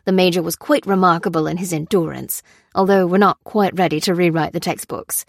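A woman's voice: fairly low pitch, fairly quick, vocal fry, dry recording. A pompous male lab director, put on by a woman narrator. He is genial and formal on the surface, smug and coldly dismissive underneath, in a dry audiobook recording. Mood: breezy, smug. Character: breezy, smugly cheerful male scientist boss, coldly callous.

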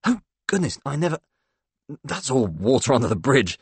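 A man's voice: medium pitch, very animated, moderate pace, slightly rough, dry recording, voice pushed up, exaggerated voice. A male reader puts on a middle-aged Englishman from a storybook, a kindly editor. He is flustered and polite, hesitating and trailing off in an English accent, in a close, dry recording. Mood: gracious, hesitant. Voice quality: tobacco-rich. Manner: hesitant, bumbling, courteous, trailing off.

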